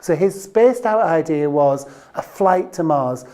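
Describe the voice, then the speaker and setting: A woman's voice: sits low, animated, a little slow, mellow. A woman presenting an instructional business training video, addressing the viewer directly and leaning on key terms.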